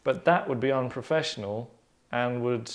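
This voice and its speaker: A man in his thirties hosting a casual group discussion, talking in a hesitant, rambling, deadpan way. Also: medium pitch, normal speed. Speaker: host and narrator of a table-read show. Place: small furnished lounge, indoors.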